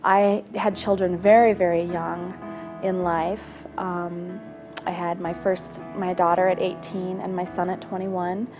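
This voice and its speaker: A middle-aged woman from Wyoming speaking earnestly and in a measured way in an interview. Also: fairly low pitch, little pitch movement, over music. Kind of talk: reflective interview testimony.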